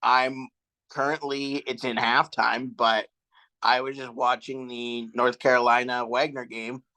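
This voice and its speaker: A young man co-hosting a casual sports podcast, relaxed and a little hesitant, trailing off with ums. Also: medium pitch, measured pace, rough recording. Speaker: podcast co-host. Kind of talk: casual chat.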